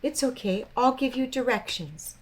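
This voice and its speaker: Patient bird leader for a children's storybook, calm and caring, read by a woman. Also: fairly high pitch, moderate pace, background noise, pitched above the performer's own voice, natural voice.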